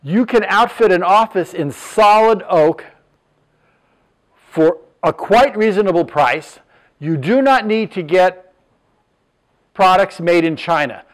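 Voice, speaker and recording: A man's voice: sits high, slow, pauses often. Older man presenting showroom furniture. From Southern California. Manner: plain, matter-of-fact, lists measurements with fillers. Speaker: furniture business owner. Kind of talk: product presentation.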